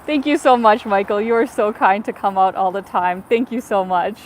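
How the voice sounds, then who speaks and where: A woman's voice: mid-range voice, normal speed. Thirties woman, Ukraine-born vlogger; grateful, chatty, phone-held walking livestream, faint street noise behind. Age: 30s. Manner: warm, grateful, chatty with frequent fillers. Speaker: travel vlogger. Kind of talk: casual livestream chat, reading viewer messages. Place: outdoors on a city bridge in winter.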